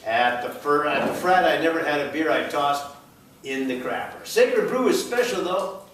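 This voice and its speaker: A man's voice: a little high, animated, moderate pace, close to an everyday voice. A man reading a laid-back, slangy, beer-loving beach bro in a play, in an echoey room. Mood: carefree. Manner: casual, slangy. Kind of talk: script read aloud at a play reading. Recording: echoey room, far from mic, faint noise.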